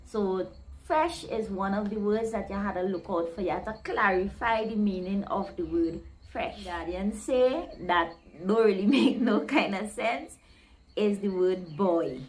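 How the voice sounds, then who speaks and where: A woman with a Guyanese accent, tinged with Trinidadian, talks straight to her viewers in a relaxed vlog. Her voice sits at an ordinary middle pitch. She is chatty and expressive, voicing the local phrases she explains. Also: measured pace. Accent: Guyanese, with Trinidadian creeping in. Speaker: vlogger explaining slang.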